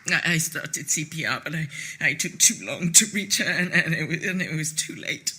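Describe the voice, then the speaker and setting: An actor playing a shaken, stammering man in an audio drama, haltingly telling of a failed rescue. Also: a little high, pushed and bright. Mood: grief-stricken, halting.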